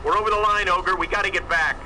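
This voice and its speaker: A man's voice: high-pitched, fairly even intonation, quick pace, noisy background, lightly acted. An actor playing an anxious fighter wingman calling out warnings in a military game. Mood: anxious, urgent.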